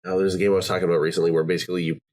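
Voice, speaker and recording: A man's voice: low-pitched, little pitch movement, racing, a little mellow. A man hosting a podcast, talking casually about games and rambling with many fillers and restarts. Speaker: podcast host. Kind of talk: casual chat.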